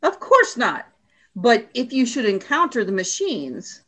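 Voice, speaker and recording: A woman's voice: fairly high pitch, wildly swooping pitch, measured pace, pushed and bright, exaggerated voice. A woman playing a stern alien military leader in a radio play, speaking in a formal, commanding, scornful way. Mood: coolly commanding.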